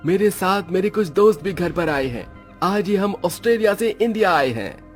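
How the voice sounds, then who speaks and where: A man plays a young man in a cartoon family tale. His voice is very high for a man and casually familiar, with music behind it. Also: lively intonation, moderate pace. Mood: breezy. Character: young men of the family, demanding and breezy. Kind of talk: Hindi moral story cartoon dialogue.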